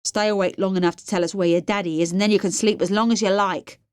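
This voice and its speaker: A woman's voice: mid-range voice, steady tone, fast, a little mellow, clean tone, no room sound, studio quality, close to an everyday voice. A woman voicing a bullying, businesslike male kidnapper with blunt, controlled threats in an audiobook. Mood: threatening. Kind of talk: audiobook character voice.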